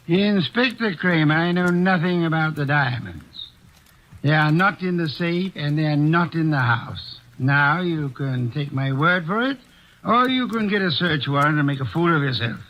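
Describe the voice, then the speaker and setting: An actor playing an imperious, unhurried male master detective in old-time radio acting, with dry, formal, precise questioning. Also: fairly high pitch, lightly acted. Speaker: private detective. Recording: dull, lo-fi vintage radio recording.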